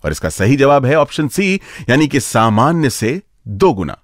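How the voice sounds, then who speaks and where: A man's voice: mid-range voice, big pitch swings, moderate pace, dry recording, studio quality. A man hosting a radio show, presenting scripted material in a playful, engaging way. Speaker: radio host.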